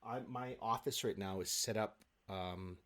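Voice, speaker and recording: A man speaking casually as an interview guest on a video call, in a halting, rambling, animated way. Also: fairly low pitch. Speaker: guest, stage actor. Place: home office, on a video call. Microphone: video call microphone.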